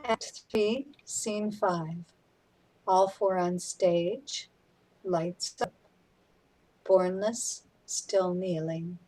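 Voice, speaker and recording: A woman's voice: on the low side, extremely slow, long pauses, a little mellow, rough recording. A woman narrator reading stage directions in a clipped, scripted style.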